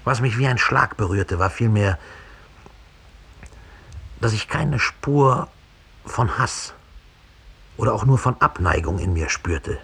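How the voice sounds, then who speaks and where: An actor playing a proud, cold former Nazi killer in a 1960s German radio drama, confessing with deliberate disdain in a gravelly, husky voice. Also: male voice, on the low side, expressive, a bit bright, full character voice. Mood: cold, detached.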